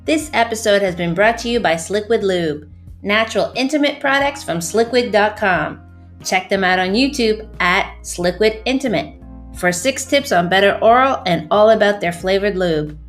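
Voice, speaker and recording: A woman reading a sponsor message in an even, scripted way. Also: medium pitch, normal speed, faint music behind. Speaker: sponsor announcer. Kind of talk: read ad.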